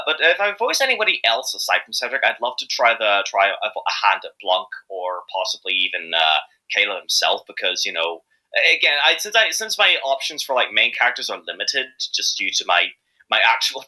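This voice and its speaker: Irish man, very bright sharp voice, middle pitch, casual interview guest, hesitant, rambling, enthusiastic. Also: rough recording. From Ireland. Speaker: interview guest, amateur fandub voice actor.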